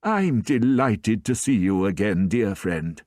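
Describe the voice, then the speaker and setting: A man voicing a gentle, forgiving old priest who speaks softly and warmly. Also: lowish voice, sing-song, no echo, clean studio sound. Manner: soft, warm, unhurried.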